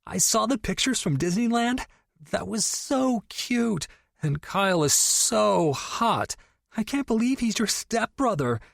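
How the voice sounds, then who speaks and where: A man voicing an excitable young woman best friend who squeals and gushes over video chat in an audiobook. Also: unhurried, gravelly, no echo, clean studio sound. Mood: gushing. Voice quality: shrill, squealing. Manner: bubbly, breathless gushing. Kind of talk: audiobook character voice.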